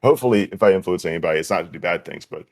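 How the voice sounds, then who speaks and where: A man's voice: low-pitched, rapid-fire, a little mellow. A young man talking casually as a guest, easygoing and chatty. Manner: easygoing, chatty with light laughs. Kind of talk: casual chat.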